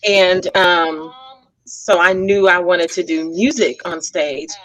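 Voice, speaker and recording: A woman from Texas, a stage actress guest, answering conversationally in a droll, theatrical and deliberate manner. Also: fairly low pitch, fairly bright tone, low-quality recording. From Texas, United States.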